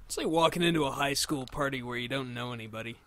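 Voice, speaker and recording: A man's voice: medium pitch, fast, a bit bright. A man chatting casually and drily as a jokey co-commentator on a video game. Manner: dry, jokey. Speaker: co-commentator on a let's play. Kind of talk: casual joking chat. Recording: faint noise behind voice. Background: game ambience.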